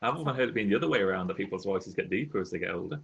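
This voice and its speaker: A man hosting a podcast, chatting casually and relaxed, chiming in with short remarks. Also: sits low, very fast, vocal fry, slightly rough, muffled recording. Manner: relaxed, laughs along, brief interjections. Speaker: podcast host. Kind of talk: casual chat.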